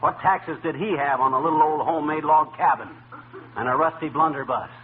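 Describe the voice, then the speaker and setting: A man acts out a grumbling middle-aged husband from a 1940s radio comedy. He is loud, indignant and slangy, with a highish voice. The old recording is thin and full of noise. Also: fairly quick, narrow, tinny audio, close to an everyday voice.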